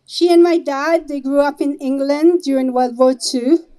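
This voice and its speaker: A middle-aged woman with an Israeli accent gives an earnest, halting speech as an organizer at a vigil. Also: high voice, dark tone. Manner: earnest, halting, with restarts and pauses.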